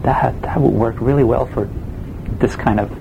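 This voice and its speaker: A man with a Swiss German accent, a game production designer answering interview questions in a relaxed, hesitant way with many fillers. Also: medium pitch, normal speed, vocal fry, phone-quality sound, noisy background. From Switzerland.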